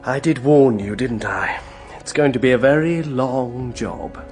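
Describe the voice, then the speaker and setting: An actor playing a courteous, considerate middle-class English man in a radio drama, talking in an expressive, conversational way. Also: mid-range voice, unhurried, soft background music, close to an everyday voice. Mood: affable, chatty.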